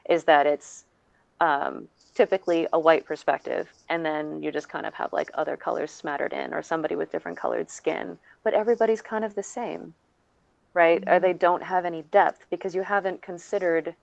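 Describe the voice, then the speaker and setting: A woman's voice: deep voice, steady tone, normal speed, vocal fry, no echo. A woman panelist talking casually and emphatically, often checking in with her listeners, on slightly dull audio.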